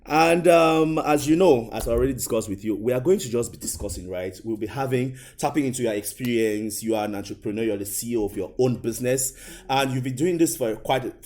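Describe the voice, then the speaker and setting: A man's voice: mid-range voice, a little fast, hardly any pauses, darkish tone. A man hosting a studio interview show, talking emphatically with repeated phrases and "you know" asides. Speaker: talk show host and interviewer. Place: indoor studio.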